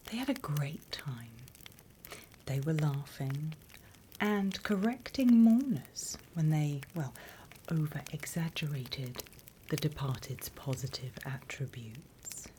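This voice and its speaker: Sly demon teacher, acted by a woman, British accent, hushed whisper, very slow, dark mellow tone, wry ASMR roleplay. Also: low-pitched, very animated, slight background noise, full character voice. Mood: calm, instructive. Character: sly female demon instructor, smugly scornful of humans.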